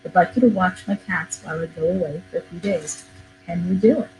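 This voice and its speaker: Woman doing old wizard, polite, gentle, low voice, storybook. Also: brisk, over music, natural voice, rough recording.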